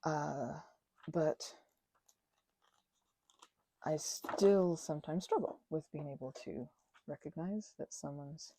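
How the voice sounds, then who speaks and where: A woman's voice: sits low, slow pace, long pauses, warm tone, no echo. A woman in a casual, rambling craft ASMR chat, self-deprecating and playfully sarcastic, often dropping toward a whisper and wandering off on tangents as she talks to herself. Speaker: channel host.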